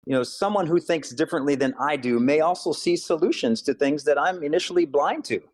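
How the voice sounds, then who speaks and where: A man from Hawaii, an author and school counselor, answering interview questions in an earnest, steady way. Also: on the high side, fairly quick.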